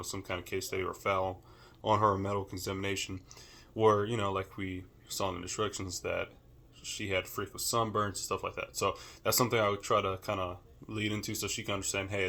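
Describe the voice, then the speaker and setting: A young man with a deep voice records a class assignment, talking casually and thinking aloud with many fillers. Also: gravelly, pushed and bright. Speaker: student. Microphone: camera held or set nearby.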